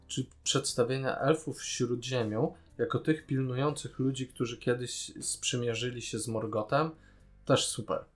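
Casting: man, medium-pitched, for a casual podcast discussion giving opinions. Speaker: podcast co-host.

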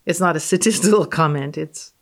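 A woman's voice: low-pitched, a little fast. A woman guesting on a podcast as a physicist, reading aloud and commenting casually in an offhand, matter-of-fact way.

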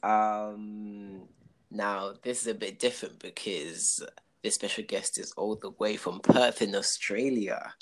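A man's voice: fairly low pitch, fairly even intonation, takes it slowly, a bit bright. A young man from England hosting a casual podcast interview, talking with frequent ums, fillers and restarts. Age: young adult. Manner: hesitant, many ums and restarts. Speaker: podcast host.

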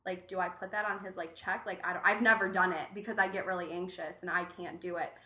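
Chatty bright woman from Cleveland. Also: on the low side, fast. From Cleveland, Ohio. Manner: chatty, many likes, animated. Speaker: bartender guest. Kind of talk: casual podcast chat. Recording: roomy echo.

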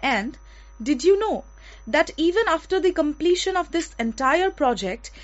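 A woman's voice: sits high, big pitch swings, a little slow. A woman with an Indian accent presenting a radio show in a conversational style. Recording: dull lo-fi audio.

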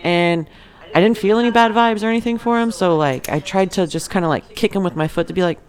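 A woman's voice: mid-range voice, quick pace. A woman co-hosting a casual podcast with friends, giggling often as she tells stories. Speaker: podcast co-host. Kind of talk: casual chat.

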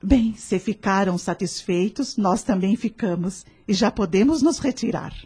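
An actress playing a serene, wise leader of a spiritist prayer group in a radio drama, speaking in a formal, didactic, consoling way. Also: female voice, mid-range voice, a little slow, a little mellow, husky.

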